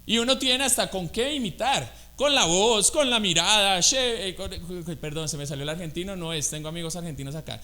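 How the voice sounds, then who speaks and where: A man's voice: high-pitched, fairly quick, hardly any pauses, pushed and bright. A Colombian man with a Colombian accent preaching a conversational sermon in church, often asking the congregation questions. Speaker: guest preacher.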